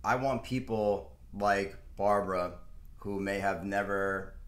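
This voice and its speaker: A man's voice: fairly low pitch, steady tone, slow, a bit bright, echoey room. A young man hosting a casual market video, talking through charts at his desk in a loose, rambling way with lots of fillers. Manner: rambling, filler-heavy, laughs to himself. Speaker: stock market video host. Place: room at a desk, in front of a screen.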